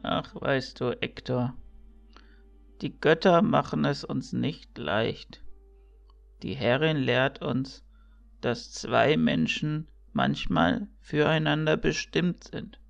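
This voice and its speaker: A man playing a kindly, teasing old mentor priest in a fantasy audio drama, gravelly and fatherly. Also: mid-range voice, lively intonation, takes it slowly, highs cut off, faint music behind. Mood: wise, reflective. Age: older.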